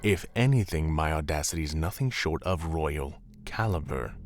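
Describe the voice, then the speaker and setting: A man's voice: very deep voice, expressive, normal speed, a little mellow, faint music behind. A man playing an arrogant demon prince in a roleplay drama, dry, haughty and sardonic. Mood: haughty, irritated. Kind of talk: Minecraft roleplay voice acting.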